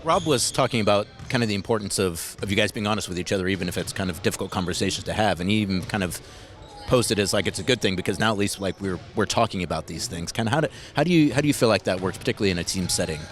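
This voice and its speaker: A man's voice: lowish voice, animated, very fast, slight background noise. A man hosting a sports podcast, talking in a conversational, rambling and emphatic way with rhetorical questions. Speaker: podcast host and basketball beat reporter. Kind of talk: conversational sports commentary and interviewing.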